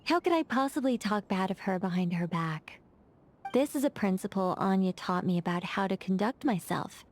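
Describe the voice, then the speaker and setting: A woman's voice: medium pitch, animated, normal speed, slight background noise, natural voice. An actress voicing a poised, playful female mage in a game, talking in a wry, teasing, chatty way. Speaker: game character. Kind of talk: game voice lines.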